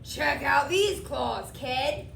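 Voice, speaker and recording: A woman voicing a haughty, sassy female storybook monster with a raspy, emphatic delivery. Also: high voice, lively intonation, extremely slow, a bit bright, echoey room, distant mic, a little hiss or hum, heavily acted. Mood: boastful. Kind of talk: children's storybook read aloud.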